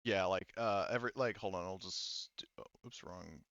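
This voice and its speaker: A man's voice: sits low, normal speed, a bit bright, no room sound, highs cut off. A man acting as game master, talking through a tabletop roleplaying scene informally and thinking aloud. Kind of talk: casual tabletop roleplaying narration and rules talk.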